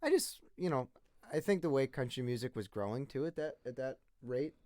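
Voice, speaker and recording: A man's voice: mid-range voice, animated, brisk, darkish tone, dry recording. A man in his twenties, a singer-songwriter guest from the northeastern US, telling his story in a rambling, casual way with many restarts. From northeastern United States. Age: 20s. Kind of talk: casual interview chat.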